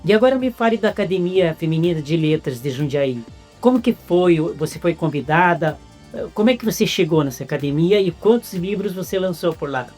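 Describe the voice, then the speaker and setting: A man's voice: fairly high pitch, expressive, fairly quick, darkish tone, soft background music. A man hosting a casual interview, asking chatty follow-up questions and praising his guest.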